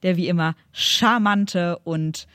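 A woman's voice: lowish voice, takes it slowly, a bit bright, no echo. Female podcast co-host, giggly and teasing, dry close sound. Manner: laughs a lot, teasing. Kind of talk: casual chat.

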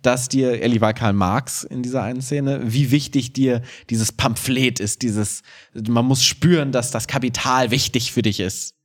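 A man's voice: medium pitch, fairly even intonation, a little slow. Casual man in conversation. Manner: colloquial, opinionated, acts out short quotes. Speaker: podcast co-host. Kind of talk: casual podcast chat about children's theatre.

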